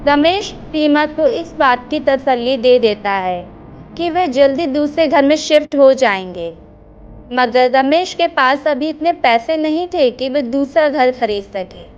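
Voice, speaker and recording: A woman's voice: high voice, animated, unhurried, dull audio. A woman narrating a scripted horror bedtime story as the storyteller. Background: loud music.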